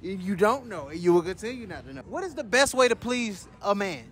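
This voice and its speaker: A man's voice: sits high, sing-song, fairly quick, a bit bright. A young man, a street interviewer asking playful questions with a teasing, jokey manner, recorded at night in an arcade and outside on a city street. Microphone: handheld phone.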